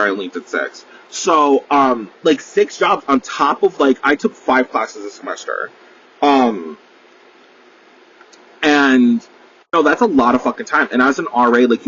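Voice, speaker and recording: A man's voice: mid-range voice, a bit bright, lo-fi sound, slight background noise. A man, talking in a rambling, casual solo podcast monologue, full of fillers, swearing freely and poking fun at himself. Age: 20s. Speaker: podcast host.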